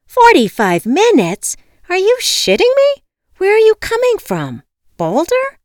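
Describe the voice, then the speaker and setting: A woman's voice: medium pitch, sing-song, normal speed, smooth, no room sound, clean studio sound, pitched above the performer's own voice, close to an everyday voice. A woman voicing a stressed, irritable woman in an audiobook, exasperated and snappy. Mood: snapping, indignant. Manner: exasperated, snappy, loud outbursts. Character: stressed, irritable woman locked out of her house. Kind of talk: acted audiobook dialogue.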